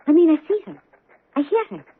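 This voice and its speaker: A playful, eerily serene young woman with a high, girlish voice. She is eager and teasing, in seventies radio theater with narrow, muffled broadcast sound. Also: lively intonation, a little fast, slight background noise. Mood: serene, insistent. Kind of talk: 1970s radio drama acting.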